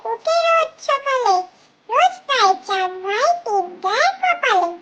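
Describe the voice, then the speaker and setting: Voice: very high voice, lively intonation, unhurried, pushed and bright, exaggerated voice. A performer voicing a small cartoon child who chants nursery rhymes in a simple, repetitive sing-song.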